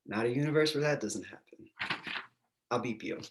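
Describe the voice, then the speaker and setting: An actor playing a quirky, quick-witted male love interest in a screenplay table read, glib and theatrical. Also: mid-range voice, noisy background, natural voice. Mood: flirty, earnest.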